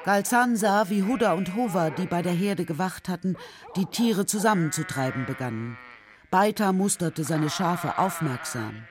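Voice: medium pitch, animated, moderate pace, music in the background. A narrator telling a children's story in a scripted radio drama style.

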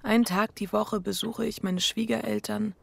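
A woman's voice: medium pitch, steady tone, moderate pace, creaky, breathy edge, no room sound. An actress playing a restless, introspective wife confessing her affair in an intimate radio drama. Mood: introspective, subdued. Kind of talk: intimate first-person radio drama acting.

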